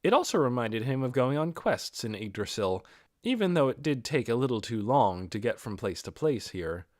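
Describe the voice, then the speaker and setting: A man's voice: medium pitch, sing-song, moderate pace, no echo, clean studio sound. A man reading a fantasy novel aloud as scripted audiobook narration. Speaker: audiobook reader.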